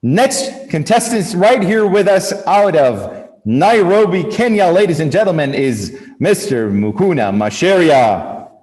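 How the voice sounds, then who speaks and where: A man hosts a live cooking competition, bringing each contestant on with upbeat, emphatic introductions. His voice sits a little high for a man. A long reverb trails behind him, as if he is speaking in a large hall. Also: expressive, unhurried, a little mellow. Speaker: host.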